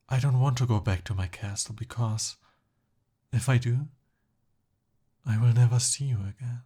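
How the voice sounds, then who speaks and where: A man acting an earnest, lovestruck young prince in a romantic ASMR roleplay, with halting, emotional, pleading and easily flustered delivery. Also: mid-range voice, darkish tone, breathy edge. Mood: pleading, confessing.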